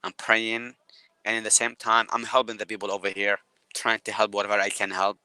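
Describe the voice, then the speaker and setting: A man from Yemen with a Yemeni Arabic accent, speaking as a guest photographer on a live video call, halting and repeating words as he talks. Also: on the low side, completely flat delivery, bright, forceful tone, clear, resonant tone, low-quality recording.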